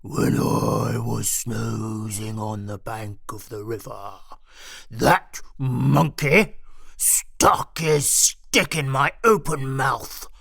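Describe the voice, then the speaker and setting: Snarling, resentful beast of the jungle female storyteller acting, gravelly and drawn-out, recorded close and dry. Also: rumbling low, dry recording, pitched below the performer's own voice, exaggerated voice. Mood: accusing, bitter. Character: menacing, resentful male crocodile or jackal villain.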